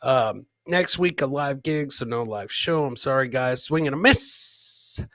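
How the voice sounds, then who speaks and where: A man's voice: medium pitch, measured pace, a bit bright, no room sound. Casual, rambling man hosting live comedy. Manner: informal, rambling, addresses viewers as guys and man. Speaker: live comedy podcast host. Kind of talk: casual live-stream chat, riffing on clips and reading viewer comments.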